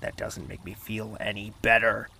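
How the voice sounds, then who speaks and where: A man playing an earnest, anxious young man who narrates his own tale in an audio drama, with some gravelly fry. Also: on the low side, little pitch movement, normal speed, pushed and bright, a little hiss or hum, heavily acted. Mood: worried.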